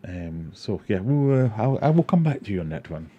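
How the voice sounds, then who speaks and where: A man's voice: lowish voice, very animated, brisk, lo-fi sound. A Scottish man from Glasgow hosting an aquarium livestream, casually answering chat questions and rambling with self-deprecating asides. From Glasgow, Scotland.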